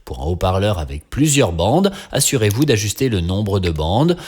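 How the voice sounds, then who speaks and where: A man's voice: fairly low pitch, big pitch swings, moderate pace, darkish tone, a little hiss or hum. A man giving scripted tutorial narration as a training instructor, explaining step by step with touches of dry humour.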